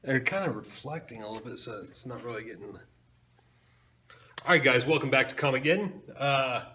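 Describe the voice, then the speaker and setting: A man's voice: medium pitch, a little fast, sharp tone. A noisy amateur recording carries a man presenting a web show. He has a mid-range voice and hosts in a casual, conversational style, as if talking to viewers he calls guys. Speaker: host of a comics web show.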